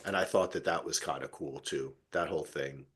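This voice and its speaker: A man's voice: extremely low pitch, fairly quick, a bit bright, breathy edge. A middle-aged man hosting a podcast, talking in an effusive, rambling, conversational way about television. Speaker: podcast host. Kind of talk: casual TV recap podcast chat.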